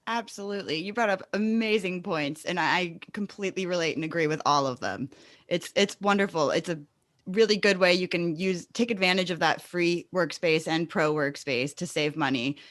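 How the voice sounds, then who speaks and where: Woman, interview host, deep for a female voice, agreeable conversational delivery, very dry recording. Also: normal speed, fairly bright tone, dry recording. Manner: affirming, agreeable. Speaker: podcast host.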